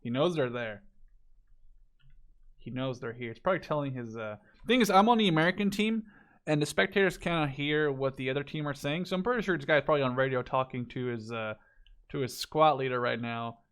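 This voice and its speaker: Male game commentator, mid-pitched voice, casual and unscripted, rambling as he thinks aloud. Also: animated, a little fast, a bit bright, clear, resonant tone. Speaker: video game spectator commentator.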